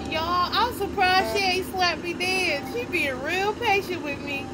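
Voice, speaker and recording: A woman's voice: extremely high pitch, unhurried. A young woman pranking her mother with sassy, mock-rude teasing during a casual chat in a car. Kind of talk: casual family banter. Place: inside a car. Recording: loud road noise in a car.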